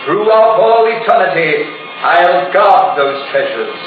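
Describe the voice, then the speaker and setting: Cavernous, echoing ghostly sea captain. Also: male voice, high-pitched, sing-song, heavily acted. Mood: grave warning. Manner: solemn, theatrical, deliberate. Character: ghostly sea captain, grave and fiercely dutiful. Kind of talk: old radio horror acting. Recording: dull, cavernous echo, distant, music behind.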